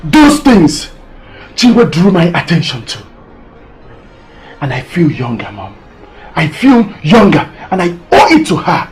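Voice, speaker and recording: Actor as an impassioned son. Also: male voice, fairly high pitch, big pitch swings, measured pace, long pauses, a little mellow, faint music behind. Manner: defensive and impassioned, near tears. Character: grown son defending his affair, emotional.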